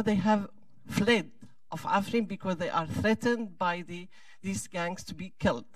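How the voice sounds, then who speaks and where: A man's voice: high voice, dry recording, distant mic. A man from Syria asking an urgent, pleading question from the audience in non-native English.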